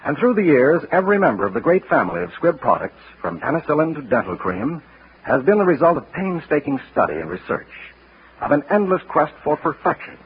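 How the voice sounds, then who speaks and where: A man giving formal, measured 1940s radio announcements and sponsor copy. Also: fairly high pitch, big pitch swings, a little hiss or hum. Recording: tinny vintage radio broadcast.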